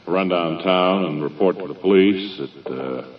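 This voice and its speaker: Casual theater man, lowish voice, hesitant pauses, vintage radio drama, roomy muffled sound. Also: far from the mic, a little hiss or hum. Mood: resigned, businesslike. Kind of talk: old-time radio drama acting. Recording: dull echoey vintage radio, off-mic.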